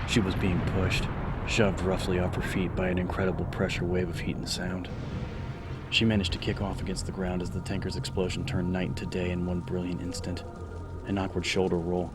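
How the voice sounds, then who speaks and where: A man reading scripted horror fiction as a dramatic audiobook narrator. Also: low voice. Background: background music.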